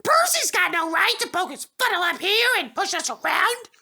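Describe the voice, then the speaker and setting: Squealing, mischievous freight cars. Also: male voice, very high-pitched, very animated, moderate pace, vocal fry, sharp tone, pitched above the performer's own voice, exaggerated voice. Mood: indignant.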